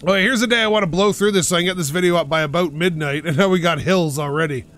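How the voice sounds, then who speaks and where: Man, gaming commentary, slightly high voice, pushed-out and loud, rambling, self-mocking, home mic. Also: fast. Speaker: gaming channel host. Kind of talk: casual let's-play commentary. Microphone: home microphone while playing on a couch.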